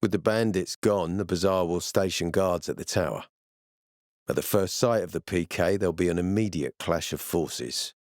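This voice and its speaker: A man playing a gruff, weary military officer in a video game, speaking with measured authority. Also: sits low, a little mellow, no room sound, studio quality, close to an everyday voice. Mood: commanding, measured. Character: gruff, weary military officer, commanding, later a regretful father. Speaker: game character voice actor.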